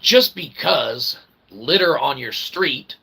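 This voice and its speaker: A man's voice: medium pitch, expressive, slow pace, creaky, bright, forceful tone, breathy edge. A man giving a rambling, tongue-in-cheek lecture in wordy mock-academic phrasing, checking in often with small tags. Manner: wordy mock-academic phrasing with frequent "all right, okay" check-ins. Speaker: online philosophy show host.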